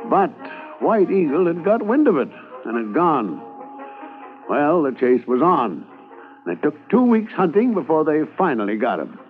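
A man narrating a 1950s radio drama in a lively storytelling way. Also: fairly high pitch, a little slow, soft background music. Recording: tinny old radio transcription.

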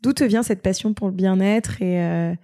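A woman's voice: medium pitch, normal speed, dark tone. A woman hosting a podcast, introducing and interviewing a guest. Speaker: podcast host.

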